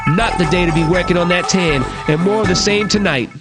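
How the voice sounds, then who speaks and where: A man's voice: sits high, a little fast, over loud music, natural voice. A man playing a brash, cocky hip-hop radio DJ with punchy, slangy, playful patter. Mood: wry, upbeat.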